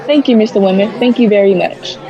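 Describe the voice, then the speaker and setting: A woman's voice: mid-range voice, fast, dark tone, dull audio, natural voice. A woman playing a radio news reporter in a radio drama, giving dramatic, formal live commentary from the scene. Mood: intrigued. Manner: dramatic, formal on-the-spot describing. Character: excited radio field reporter, a woman, describing a mysterious landing. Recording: busy crowd noise around the voice. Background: crowd in a public space.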